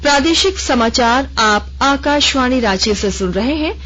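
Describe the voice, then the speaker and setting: A woman newsreader reading a formal regional radio news bulletin in a measured, even way. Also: sits high. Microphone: studio microphone. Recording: dull lo-fi radio broadcast.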